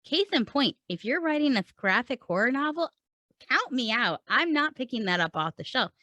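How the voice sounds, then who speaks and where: Emphatic woman podcast host, higher-pitched voice. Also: big pitch swings, moderate pace, bright, forceful tone, no echo. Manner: emphatic, rhetorical, addresses listener directly. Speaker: podcast host and writing coach. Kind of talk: conversational instructional podcast talk.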